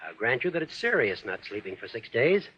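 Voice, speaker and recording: An actor playing a firm, persuasive doctor, formal and earnest, in 1940s radio drama style. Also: male voice, medium pitch, big pitch swings, fairly quick, husky. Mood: firm, reassuring. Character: firm, insistent doctor, persuasive and self-assured. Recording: muffled old radio recording.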